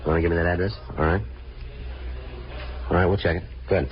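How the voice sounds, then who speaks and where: An actor playing a terse, matter-of-fact American homicide detective who narrates a 1950s radio crime drama in a clipped, deadpan way. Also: male voice, low voice, brisk, slight background noise, lightly acted. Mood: businesslike. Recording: dull vintage radio recording with music.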